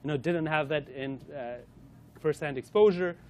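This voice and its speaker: A man's voice: a little high, normal speed, darkish tone, highs cut off, a little hiss or hum. A man presenting a corporate lunch-and-learn to a live audience, running a playful quiz and talking with frequent fillers and restarts.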